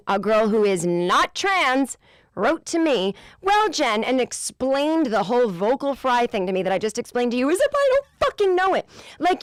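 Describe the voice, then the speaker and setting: A woman in her forties hosting a podcast, with a low voice, ranting in an exasperated, profane way in a casual comedic monologue. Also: no echo, studio quality. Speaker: podcast host.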